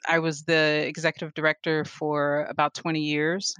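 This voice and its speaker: Woman, 40s, chatty interview guest, animated storyteller, dry mic. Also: sits low, normal speed, clean tone, no room sound. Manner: animated storyteller, laughs often. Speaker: guest, photographer and artist. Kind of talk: casual interview conversation.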